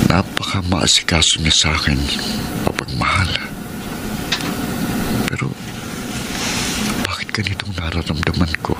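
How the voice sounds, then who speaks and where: A man's voice: very deep voice, heavy background noise. An actor playing a troubled man torn between his new partner and his former wife, speaking in a brooding, confessional way in a classic radio drama. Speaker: letter writer narrating his story. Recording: radio drama with loud music bed.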